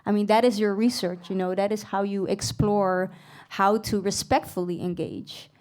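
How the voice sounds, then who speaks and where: A woman's voice: medium pitch, fairly even intonation, moderate pace, a little mellow. Reflective Dutch-raised woman panelist. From Netherlands. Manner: reflective, thinks aloud with many fillers and laughs. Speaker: panelist and singer. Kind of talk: panel discussion. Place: event venue stage.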